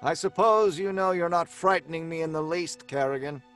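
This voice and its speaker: An actor playing a flippant, excitable story writer in an old-time radio drama, breezy and bantering, mockingly unafraid. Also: male voice, a little high, moderate pace, soft background music, natural voice. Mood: mocking defiance.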